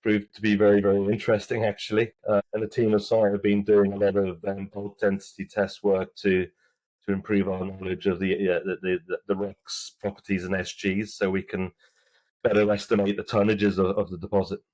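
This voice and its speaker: A man with a low voice gives interview answers about his work. He speaks haltingly, often restarting words. The sound is dry and close, with no room around it. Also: monotone, darkish tone, dry recording, rough recording. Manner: halting, many restarts and fillers. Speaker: mining company executive, guest.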